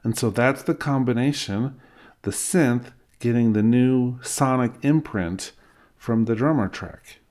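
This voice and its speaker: A man's voice: medium pitch, unhurried, darkish tone. A middle-aged man giving an informal screen-recorded tutorial, explaining things step by step as an instructor. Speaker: music software instructor.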